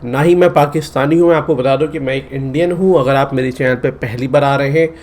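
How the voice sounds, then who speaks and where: Man, Delhi Hindi accent, casual reaction host, earnest, mid-pitched. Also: a little fast, darkish tone. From India.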